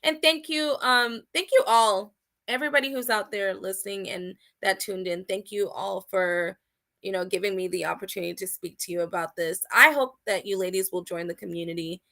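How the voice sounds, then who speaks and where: A woman speaks with eager enthusiasm as an interview guest, her tone bright and forward. She leans hard on key words, then drops to softer, quieter phrases. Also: medium pitch, fairly quick, gravelly. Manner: enthusiastic, emphatic, swings loud and soft. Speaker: guest, outdoor community organizer. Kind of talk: casual interview chat.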